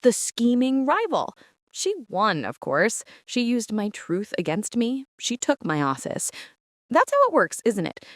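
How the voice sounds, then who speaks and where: A woman playing a traumatized, meticulous archivist, narrating a psychological horror drama in a brooding, deliberate way. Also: a little high, wildly swooping pitch, no echo, clean studio sound. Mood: uneasy, brooding. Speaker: narrator and lead character of an audio drama.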